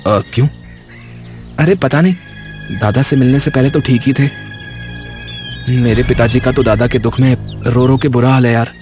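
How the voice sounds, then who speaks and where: A man's voice: mid-range voice, moderate pace, long pauses, a little mellow, soft background music, close to an everyday voice. Man playing a chatty, loyal village lad. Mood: chatty, affectionate. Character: two loyal, playful young village cousins. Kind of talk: Hindi audio story drama.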